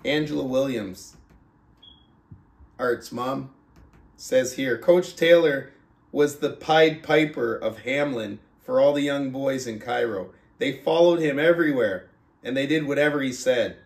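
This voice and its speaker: A man hosting a book club podcast, talking casually and reading aloud with deliberate, drawn-out emphasis and pauses. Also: medium pitch, creaky. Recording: roomy, echoing sound.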